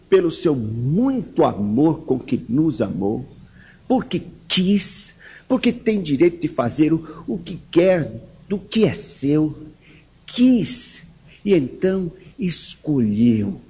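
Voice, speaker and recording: A man from São preaches slowly and deliberately, his husky voice swinging widely in pitch as he presses each point. He delivers an emphatic sermon with fervent rises on key words. The sound is dull and lo-fi. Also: darkish tone. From São Paulo, Brazil. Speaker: pastor. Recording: dull lo-fi recording.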